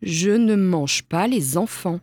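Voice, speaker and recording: A woman voicing a gentle, grumbling adult male wolf in a storybook, calm and measured. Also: medium pitch, creaky, husky, no room sound. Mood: patient, firm.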